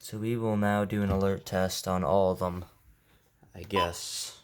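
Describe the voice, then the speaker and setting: A man's voice: sits low, level tone, a little slow, smooth. A man chatting casually and unscripted as he hosts a homemade video demonstrating gadgets. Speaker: video host demonstrating weather radios. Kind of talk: casual unscripted vlog chat. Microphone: headset. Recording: heavy background noise, clicking.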